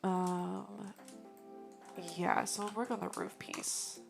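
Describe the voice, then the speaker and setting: A young woman live-streaming while she models in 3D, musing slowly and chatting with viewers, her voice going hoarse. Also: mid-range voice, flat delivery, music in the background. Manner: slow, musing, thinking aloud, greets chat. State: losing her voice.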